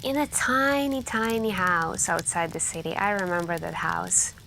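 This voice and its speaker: A soft-spoken woman telling scary stories in an ASMR style, hushed and intimate with pauses and drifts into whispering. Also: fairly low pitch, creaky. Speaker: ASMR roleplay performer playing a friend telling campfire stories. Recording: steady background ambience noise. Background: crackling campfire and night ambience.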